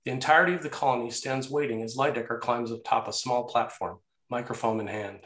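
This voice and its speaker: A man's voice: medium pitch, fairly even intonation, fairly quick, a bit bright. A man reading scene headings and stage directions as the narrator of a screenplay table read. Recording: roomy, echoey sound.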